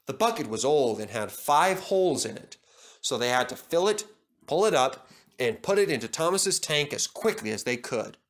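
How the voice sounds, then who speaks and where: Animated male children's storybook narrator. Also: mid-range voice, moderate pace.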